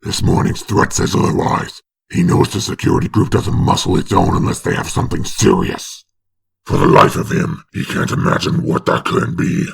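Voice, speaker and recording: A man with a very gravelly, breathy rasp giving dramatic scripted narration of a comic book. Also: deep voice, sing-song, moderate pace.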